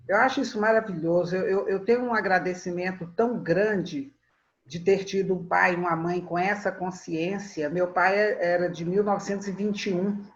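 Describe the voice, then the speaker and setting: A woman in her sixties telling family stories in a relaxed conversation, laughing often and repeating words as she starts her thoughts. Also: mid-range voice, lo-fi sound. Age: 60s. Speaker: guest storyteller. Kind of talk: reflective conversational storytelling.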